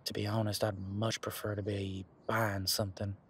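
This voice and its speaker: A man's voice: fairly low pitch, little pitch movement, moderate pace, a bit bright, a little hiss or hum, lightly acted. A man playing an easygoing, protective werewolf in an audio roleplay, talking in an informal, frank and chatty way. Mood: earnest, reassuring. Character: easygoing, protective male werewolf, imposing but responsible. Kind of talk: casual conversational audio roleplay monologue.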